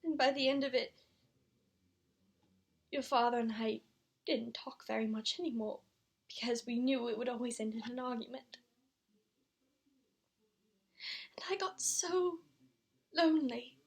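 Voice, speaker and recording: A woman acts out a frayed mother in a stage monologue, confessing her regrets to her son. Her voice is high and bright. She speaks emotionally, in halting phrases. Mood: pained, confessional.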